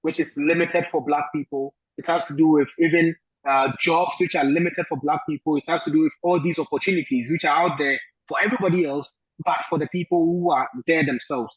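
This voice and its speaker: A man's voice: a little high, steady tone. Thoughtful Ghanaian man, lo-fi sound. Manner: thoughtful, pausing with many fillers. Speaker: guest. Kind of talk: interview answers.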